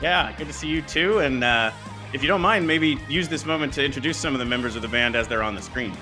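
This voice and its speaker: Bright-voiced man hosting a casual podcast. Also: mid-range voice, quick pace, faint music behind. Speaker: podcast host. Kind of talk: casual interview chat.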